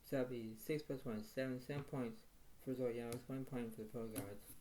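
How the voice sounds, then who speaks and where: A man with a soft, quiet voice commenting on his own poker hands in a halting way, repeating himself and saying "wow". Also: mid-range voice, steady tone, noisy background. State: slight tickle in throat. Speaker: poker video host. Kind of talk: casual play-by-play commentary.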